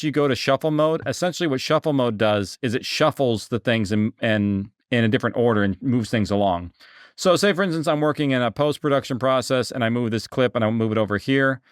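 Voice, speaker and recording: Man giving a dry-sounding casual software tutorial. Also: mid-range voice, clear, resonant tone, dry recording. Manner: informal, explanatory, thinking aloud. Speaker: software instructor.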